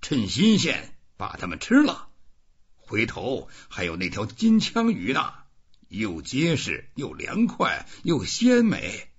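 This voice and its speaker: A stubborn old fisherman, acted out by a male reader, very husky and wildly varied in pitch. He coaxes and mutters emphatically to himself, as in a storybook. The recording is a bit dull and muffled. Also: wildly swooping pitch, takes it slowly, exaggerated voice. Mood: coaxing. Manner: emphatic, coaxing, talking to himself. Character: old Cuban fisherman, stubborn, coaxing a great fish.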